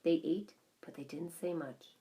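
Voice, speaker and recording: A woman's voice: low voice, measured pace, dark tone. A woman reading a children's story aloud as scripted narration. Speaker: audiobook reader.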